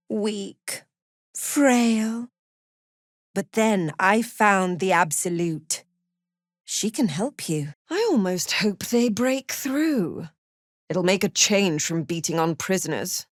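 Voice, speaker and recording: A woman playing a cruel, zealous cult prison warden in a fantasy video game, haughty and sardonic, with measured, threatening delivery. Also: mid-range voice, no room sound, clean studio sound. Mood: cold fervour, then menacing. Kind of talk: video game character voice acting.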